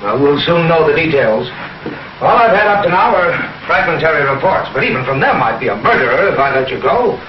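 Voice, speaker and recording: An actor playing an authoritative space-force commander, firm and official, in 1950s science fiction television style. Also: male voice, fairly high pitch, big pitch swings, fairly quick, distant mic, noisy background. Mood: grave, concerned. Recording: roomy, dull 1950s television soundtrack.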